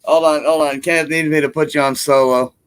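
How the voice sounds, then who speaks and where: A man in his early forties hosting a casual live stream chat, relaxed and chuckling between remarks. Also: a little high, fairly quick, smooth. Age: 40s.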